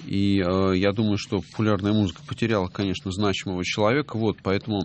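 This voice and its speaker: A middle-aged man hosting a music radio show, talking casually and hesitantly, with many drawn-out filler pauses. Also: fairly low pitch, level tone, slight background noise. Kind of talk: casual radio talk reading listener messages.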